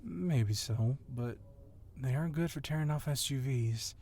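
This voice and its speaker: This is a man acting as a fit, nimble cop in an audio roleplay. His mid-pitched voice is soft-edged and mellow, over faint background noise. He comes across as confident, casual and flirtatiously admiring. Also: a little slow, natural voice. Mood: teasing. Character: athletic, agile, admiring yet confident police officer.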